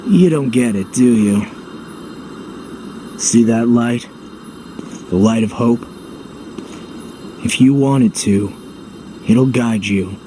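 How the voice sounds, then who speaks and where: An actor playing a blunt, stoic but loyal young hero in a video game, speaking in terse, understated phrases. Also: male voice, mid-range voice, slow pace, pauses often, dark tone, slight background noise, close to an everyday voice, low-quality recording. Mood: firm, encouraging. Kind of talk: dramatic video game voice acting.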